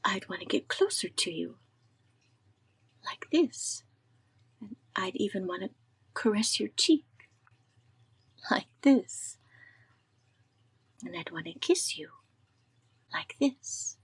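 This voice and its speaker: A woman playing a poised, glamorous film actress in 1930s-style radio comedy, with a deliberate, theatrical delivery. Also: medium pitch, big pitch swings, slightly rough, whispered, heavily acted. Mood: breathy, seductive.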